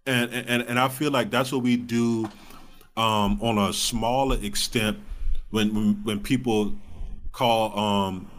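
A man in his thirties talking casually as a podcast guest, informal and blunt, with halting phrasing. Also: lowish voice, fairly even intonation, a bit bright. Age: 30s. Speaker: podcast guest, stand-up comic. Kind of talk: casual conversational interview.

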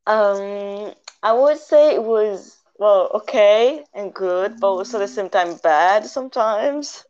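A woman's voice: mid-range voice, slow, darkish tone, smooth, lo-fi sound. A young woman from Italy, a guest photographer, chatting casually in an interview, hesitant with many fillers and giggles. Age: young adult.

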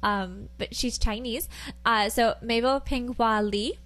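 A woman's voice: on the high side, fairly even intonation, slow, a bit bright. A woman co-hosting a podcast, chatting casually with lots of fillers. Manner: chatty, rambling with many fillers. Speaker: podcast co-host. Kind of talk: casual chat.

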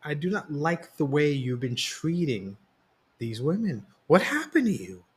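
A man voicing a middle-aged father who lectures his son with conviction and gentleness. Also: fairly high pitch, very animated, unhurried, husky, pitched above the performer's own voice, exaggerated voice. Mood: disappointed. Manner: firm, earnest, gentle.

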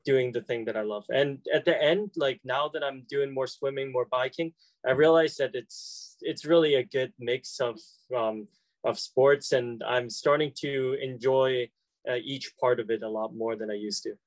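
A man's voice: mid-range voice, moderate pace, dry recording, poor audio. Young college guy, chatty and hesitant, dry close recording. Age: young adult. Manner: hesitant, many fillers and restarts. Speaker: college student interviewee. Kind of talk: casual conversation.

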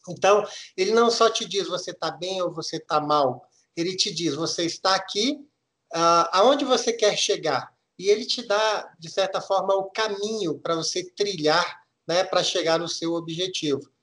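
A middle-aged man from Minas Gerais with a mineiro Brazilian accent, explaining a topic in a podcast interview with measured, hesitant restarts. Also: sits high, fairly bright tone. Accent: Minas Gerais Brazilian Portuguese. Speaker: guest auditor.

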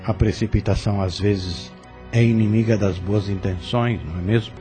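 A man acting a courteous farm overseer in a radio soap, with a low, mellow voice. He speaks formally and with measured gallantry, gently flirting, over faint music. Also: steady tone, lightly acted. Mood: cordial.

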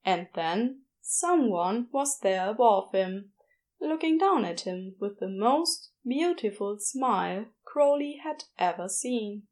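A woman reading a story aloud as an audiobook narrator, in expressive scripted narration. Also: medium pitch, slow. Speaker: audiobook narrator of fan fiction.

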